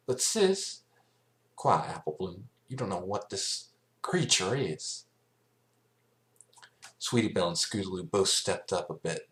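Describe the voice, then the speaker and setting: Deep-voiced man reading a story haltingly. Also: fairly bright tone. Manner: halting reading with stumbles over words. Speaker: amateur fan fiction reader. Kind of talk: scripted story narration, read aloud.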